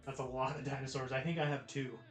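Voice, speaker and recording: An American man hosts a casual livestream, talking to his viewers in a loose, slangy, laid-back way. His voice sits at a medium pitch. The room adds noticeable echo, and music plays behind him. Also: flat delivery, fast talker. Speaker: livestream host. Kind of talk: casual chat with live viewers. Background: music, guitar.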